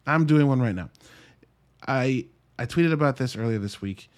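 A man's voice: mid-range voice, lively intonation, normal speed. Wry man reviewing movies casually. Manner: conversational, wry, self-interrupting with dry asides. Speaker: podcast host and movie reviewer.

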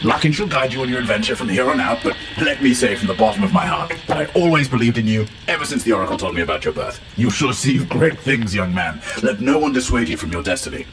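A man's voice: medium pitch, big pitch swings, fast talker, creaky, few pauses, over music, rough recording. A man voicing a pompous, bumbling professor who rambles in flowery speech with blunt asides, in a comic fantasy game. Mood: proud, encouraging. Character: pompous, bumbling, long-titled male professor of adventure lore. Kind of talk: comic in-character monologue as a recorded message.

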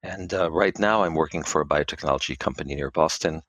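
A middle-aged man from Israel, a biotech quality expert, answering podcast interview questions in a measured, halting way with many fillers. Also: extremely low pitch, fairly bright tone, no echo.

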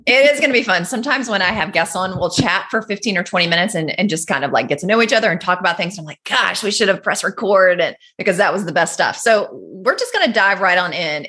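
A woman's voice: lowish voice, pushed and bright. A woman hosting a business podcast, speaking in an upbeat, enthusiastic way. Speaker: podcast host, business mentor.

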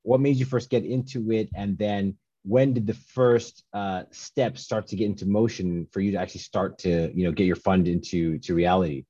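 A man's voice: sits low, steady tone, normal speed, few pauses, warm tone, slightly rough, no room sound. A man hosting a podcast and interviewing a guest in an easygoing way, laughing often. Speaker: podcast host. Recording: dull lo-fi sound, dry.

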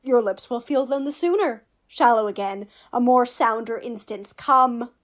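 A woman's voice: on the high side, a little slow, close to an everyday voice. A woman playing a witty, pompous male court fool who teases with mock logic in a Shakespearean radio play. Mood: mock-solemn, teasing.

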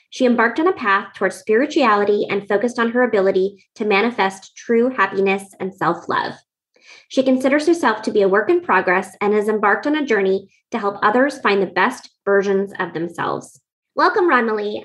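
A woman host delivers a smooth, polished introduction before moving into interview questions. She speaks at an even middle pitch with a steady, composed manner. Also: poor audio.

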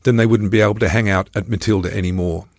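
Low-voiced man narrating, close dry sound. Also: steady tone, fast, gravelly, dry recording. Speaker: author reading own memoir. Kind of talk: scripted first-person travel storytelling, narration.

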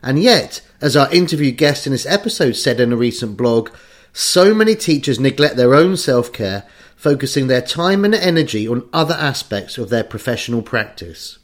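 Lively-voiced male podcast host. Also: mid-range voice. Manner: clear, measured read-out delivery. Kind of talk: scripted podcast narration.

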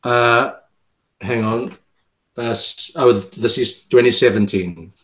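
A man from Cape Town with a South African accent, a poet speaking casually in an interview and reading his own work, halting and hesitant with many fillers. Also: medium pitch, steady tone, fairly bright tone. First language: Afrikaans. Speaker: poet, guest.

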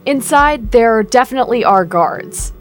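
A woman's voice: on the high side, animated, measured pace, faint music behind. A woman playing a capable break-in specialist in an audio drama, casual and practical with a teasing ease. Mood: practical, focused.

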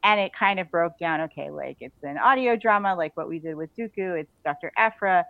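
A woman with a fairly low voice talks as an interview guest in a casual, enthusiastic way. Her audio is narrow and phone-like, with very little room sound around it. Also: animated, a little fast, creaky, dry recording. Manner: enthusiastic, informal.

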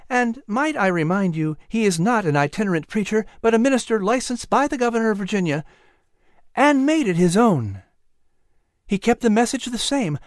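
A man's voice: sits high, no room sound, studio quality, voice pushed up. A man voicing a cheerful, teasing colonial hostess in a storybook, with emphatic, exaggerated enunciation.

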